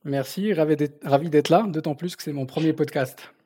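A man's voice: on the high side, brisk, warm tone, husky, dry recording, studio quality. A man in his thirties from southern Morocco, a native Berber speaker, telling his story hesitantly as an interview guest. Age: 30s. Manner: hesitant, repeating words as he searches for phrasing. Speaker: podcast guest, company founder. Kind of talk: casual autobiographical interview.

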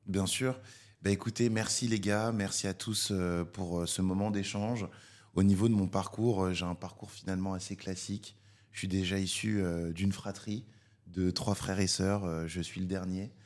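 A young Parisian man in his twenties, a podcast guest, explaining his career in a measured way with many hesitations. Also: low-pitched, steady tone, darkish tone. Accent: Parisian French. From France. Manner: measured, filler-heavy, explanatory. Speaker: podcast guest, public affairs director. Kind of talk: casual interview talk.

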